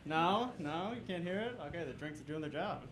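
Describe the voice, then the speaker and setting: A young man doing a stand-up comedy set on a club stage, hyping the crowd and then dropping into deadpan setups. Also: on the high side, brisk. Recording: echoey club with crowd noise.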